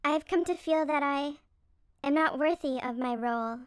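A high, dry-sounding voice for a storybook beaver leader played by a woman. She is strict and self-critical, a female boss worn down by worry. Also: normal speed, no room sound, close to an everyday voice. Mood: despairing. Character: stern, stressed female beaver team leader. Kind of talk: acted storybook character dialogue.

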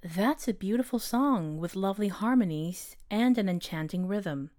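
A man voicing a gentle, wise female owl hypnotist in a storybook, with a soft, smooth, calm and soothing delivery. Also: high-pitched, normal speed, pitched above the performer's own voice. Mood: soothing, hypnotic. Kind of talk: storybook character voice.

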